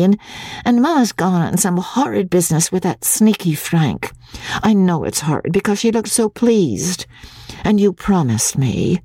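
A woman's voice: mid-range voice, vocal fry, warm tone, husky, dry recording, clean studio sound, voice pushed up, heavily acted. A woman voicing a spoiled, dramatic young actress daughter with breathless, childlike pleading, in a storybook reading.

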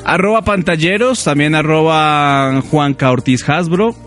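Mocking podcast co-host. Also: male voice, fairly high pitch, unhurried, a bit bright, soft background music. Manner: mocking, provocative jokes. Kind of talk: casual banter among friends.

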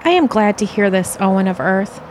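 A woman's voice: mid-range voice, expressive, fairly quick, darkish tone, a little hiss or hum, lightly acted. A woman playing an earnest, serene alien envoy in an audio play, with stilted, formal phrasing.

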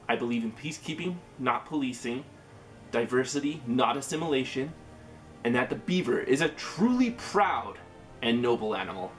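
A man's voice: mid-range voice, fairly bright tone. A man with a Canadian accent hosts a podcast in an ordinary mid-range voice. Faint instrumental music plays behind him. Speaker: podcast host. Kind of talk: scripted intro and podcast interview.

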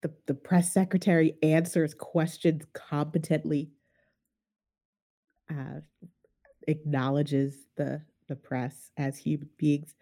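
A woman's voice: sits low, flat delivery, takes it slowly, dark tone. A woman hosting a podcast in casual chat, nasal and congested from a sinus infection, self-mocking and laughing often. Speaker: podcast host.

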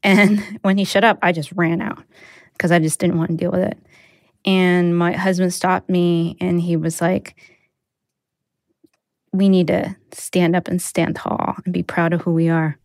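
A woman's voice: fairly low pitch, little pitch movement, unhurried, vocal fry, dry recording, studio quality. Candid woman telling a personal story. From United States. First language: Mandarin. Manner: reflective, candid, with soft laughs. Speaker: interviewee, newsletter writer.

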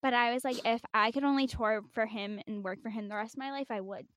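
High-voiced young woman, giggly podcast chat. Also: fairly even intonation, fast talker, fairly bright tone, no echo, clean studio sound. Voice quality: high-pitched young-sounding voice. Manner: chatty, giggly, self-deprecating. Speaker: podcast guest host.

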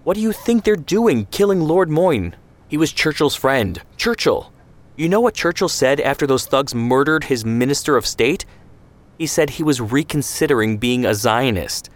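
A man's voice: mid-range voice, normal speed, few pauses, pitched above the performer's own voice, close to an everyday voice. A man voicing an idealistic young man who argues with rising outrage. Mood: heated. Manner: outraged, pleading.

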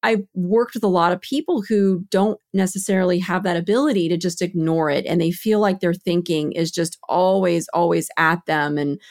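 A woman's voice: fairly low pitch, fairly even intonation, moderate pace, few pauses, a little mellow. A woman hosting a podcast interview, affirming her guest and asking questions. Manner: affirming, frequent mm-hmms. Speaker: host.

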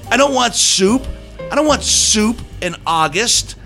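Male host, forceful bright voice, head cold, wry emphatic delivery, casual podcast chat, faint music. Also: high voice, animated, a little slow. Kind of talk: casual conversational podcast talk. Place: recorded in Columbus, Ohio.

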